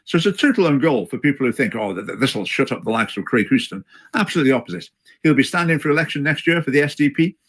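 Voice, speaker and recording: A man speaking in a measured, hesitant way in a video commentary, with many fillers and restarts. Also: mid-range voice, animated, a bit bright. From Scotland. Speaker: commentator in a played clip.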